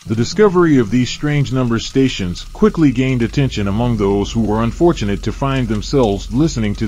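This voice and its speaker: Man, scripted mystery documentary narration, medium pitch, faint noisy ambience behind. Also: darkish tone.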